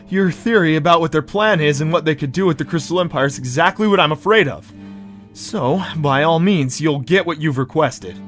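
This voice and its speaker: A man's voice: a little high, moderate pace, faint music behind, natural voice. A man acting a friendly, modest young pony prince in an audio drama, with an easygoing, earnest manner. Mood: earnest, agreeable.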